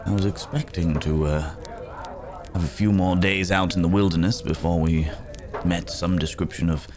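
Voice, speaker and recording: A man's voice: sits low, fairly even intonation, a crowd in the background, lightly acted. A man playing a weary, philosophical werewolf on the run, musing hesitantly in an intimate ASMR roleplay. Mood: wry, relieved. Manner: musing, hesitant, speaking in unhurried broken phrases. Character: weary, philosophical male werewolf, a hunted wandering companion.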